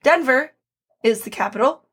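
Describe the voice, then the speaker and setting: A woman with a bit of a hoarse edge and a voice pitched a touch high. She co-hosts a casual podcast, trading puns with her partner and reading background facts aloud in a steady, chatty way. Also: moderate pace. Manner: playful, punning, reads lists of facts aloud. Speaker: podcast co-host.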